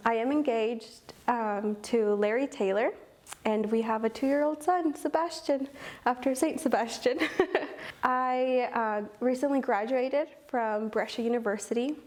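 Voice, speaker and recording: A woman's voice: fairly high pitch, unhurried, a little mellow. A young woman in her twenties from Kentucky, a program coordinator, answers interview questions earnestly, with many ums. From Owensboro, Kentucky.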